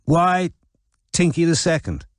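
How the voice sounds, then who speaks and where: A man's voice: a little high, very animated, measured pace, dry recording, pitched above the performer's own voice. Narrator doing a laid-back posh english young guy, dry close sound. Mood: wry. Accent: English public school. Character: posh young man, easygoing and wry.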